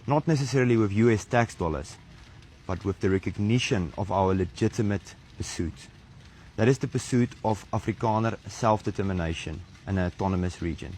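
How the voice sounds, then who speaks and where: A man from South Africa delivers a formal statement in a low, measured voice. Faint ambient noise sits behind him. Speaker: community spokesman. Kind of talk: prepared statement.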